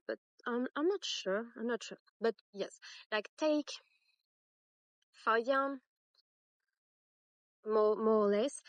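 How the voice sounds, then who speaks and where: A woman's voice: on the high side, darkish tone, dry recording. A young woman with a French accent, a native French speaker, hosting a casual crochet tutorial with many fillers and restarts. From France. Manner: hesitant, many fillers and restarts, laughs at herself.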